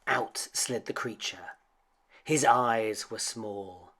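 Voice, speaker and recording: A man reading a children's bedtime story aloud as an expressive storyteller. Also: lowish voice, slow, slightly rough.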